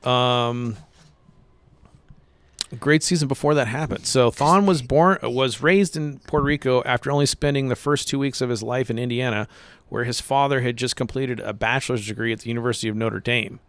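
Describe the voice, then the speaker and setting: A man chatting casually on a podcast, relaxed and a little rambling. His pitch sits in a middling range. The sound is dry and close. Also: moderate pace, clean tone, no echo. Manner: laid-back, rambling, says "dude" a lot. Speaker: podcast co-host. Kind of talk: casual chat about baseball.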